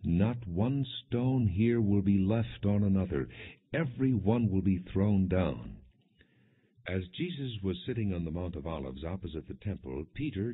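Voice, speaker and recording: A man narrating an audiobook of scripture in a solemn, measured, earnest way, with a husky voice. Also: lowish voice. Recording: muffled, phone-like narrow sound.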